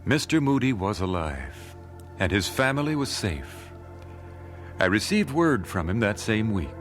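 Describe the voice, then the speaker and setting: An actor playing an earnest nineteenth-century American gospel singer in a radio drama, speaking in a measured, solemn, dramatic way. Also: male voice, on the low side, big pitch swings, soft background music. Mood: solemn, reflective. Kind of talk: radio drama narration and dialogue.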